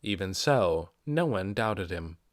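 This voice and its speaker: A man reading a fantasy novel aloud as scripted audiobook narration, with expressive storytelling delivery. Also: deep voice, slow pace, dry recording, clean studio sound. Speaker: amateur audiobook reader.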